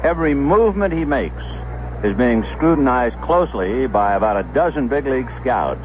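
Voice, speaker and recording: A man's voice: mid-range voice, expressive, moderate pace, muffled recording, noisy background. A man giving live play-by-play baseball commentary as a radio announcer on a 1970s broadcast.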